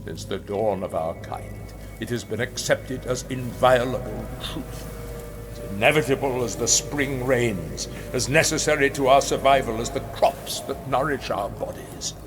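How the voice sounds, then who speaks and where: An actor playing a grandiose, menacing rabbit ruler, orating theatrically with emphatic, dramatic pauses. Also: male voice, mid-range voice, lightly acted. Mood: menacing, impassioned. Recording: long hall reverb, music underneath.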